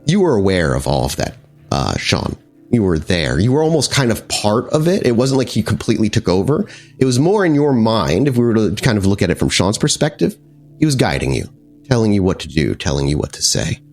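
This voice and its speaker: Deep-voiced male game master, music behind. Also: very animated, fairly quick, a little mellow. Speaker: game master of a vampire tabletop game podcast. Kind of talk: improvised game narration.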